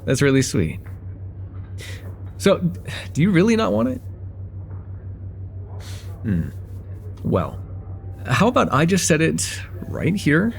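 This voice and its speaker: A man's voice: fairly high pitch, wildly swooping pitch, slow pace, creaky, long pauses, slight background noise, full character voice. A man playing a friendly, chatty young guy at a cafe in a casual, slightly hesitant conversational ASMR roleplay. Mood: friendly, curious.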